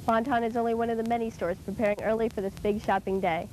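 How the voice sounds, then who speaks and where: A woman's voice: fairly even intonation, fast talker, darkish tone. A young woman delivering a scripted student television news report. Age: young adult. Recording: clearly noisy with rumble.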